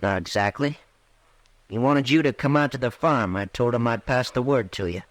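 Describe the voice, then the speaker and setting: A man acting a young farmhand in an old radio western, with a rural American accent and a medium-pitched voice. He is defensive and resentful, clipped and folksy, recorded close and dry. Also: normal speed, no echo, close to an everyday voice. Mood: impatient.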